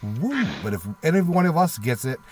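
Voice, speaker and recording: A man's voice: a little high, big pitch swings, normal speed, a little mellow, soft background music. A man co-hosting a livestream in casual, conversational talk. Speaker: livestream co-host. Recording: faint background noise.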